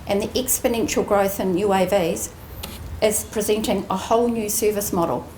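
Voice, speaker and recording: Kiwi woman giving a formal speech at a ceremony, mid pitch, stresses key words, echoey room w a bit of background noise. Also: moderate pace, a little mellow. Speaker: aviation training executive giving a speech. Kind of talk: prepared graduation ceremony speech. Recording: roomy echoing venue, faint background noise.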